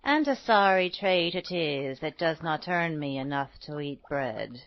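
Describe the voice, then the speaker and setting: A woman voicing a poor, humble old fairy-tale woman who mumbles slowly. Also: sits low, expressive, no echo, highs cut off, pitched below the performer's own voice. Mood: weary, humble. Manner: slow mumbling.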